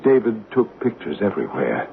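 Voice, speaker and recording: A gentle, self-assured male psychic medium in a 1970s radio play. His voice sits a little low, and he explains patiently while hitting some words up high. The old broadcast sound is thin and narrow, with faint hiss. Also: very animated, fairly quick, vocal fry. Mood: narrating. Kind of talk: 1970s radio drama acting.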